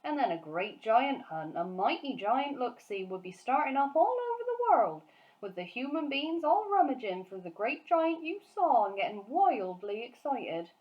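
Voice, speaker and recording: A woman voicing a big friendly giant from a storybook, excitable and kindly, speaking emphatically in garbled grammar and nonsense words. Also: on the high side, normal speed, highs cut off, voice pushed up. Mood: agitated, warning.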